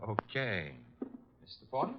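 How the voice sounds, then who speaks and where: A flippant young American detective's assistant in a vintage radio drama. His mid-pitched voice swings animatedly as he tosses off wry, breezy remarks. The recording is dull and old. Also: wildly swooping pitch, unhurried, slight background noise. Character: brisk, wisecracking younger male detective's assistant.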